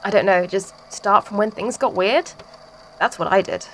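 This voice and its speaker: A sardonic, skeptical friend acted by a woman in an amateur audio drama. The voice is medium in pitch, with dry, blunt, faintly exasperated delivery over slight background noise. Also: moderate pace, a bit bright, lightly acted, poor audio. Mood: dryly amused.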